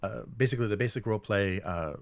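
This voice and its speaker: A man co-hosting a gaming news show, casually running through items in a halting, hesitant way. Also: fairly low pitch, gravelly, no room sound. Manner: halting, hesitant delivery while presenting. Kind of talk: casual news rundown and chat.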